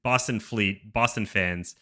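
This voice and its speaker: Bright-toned low man hosting a podcast. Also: measured pace. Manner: steers the segments, easygoing, handing out grades. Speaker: podcast host. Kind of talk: casual sports chat.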